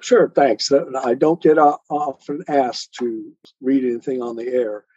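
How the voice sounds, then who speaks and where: A man with a husky, slightly dark voice talks reflectively as a guest author. He explains things in a conversational way. The sound is dry and somewhat muffled. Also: mid-range voice, steady tone, unhurried, no room sound. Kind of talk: reflective podcast interview talk. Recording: dull, muffled lo-fi audio.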